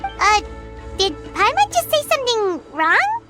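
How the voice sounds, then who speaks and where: Game fairy sidekick: very high squeaky child voice, wildly animated, cheeky and expressive. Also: female voice, measured pace, full character voice. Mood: curious. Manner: exclamatory, expressive, refers to self by name. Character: tiny childlike fairy companion, perky, cheeky, easily indignant. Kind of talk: video game voice acting. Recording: music behind the voice.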